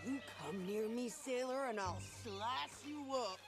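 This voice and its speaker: A woman's voice: on the high side, measured pace, music in the background. An actress playing a fierce, defiant frontier woman in a Western video game, feisty and folksy in the way she talks. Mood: angry, threatening.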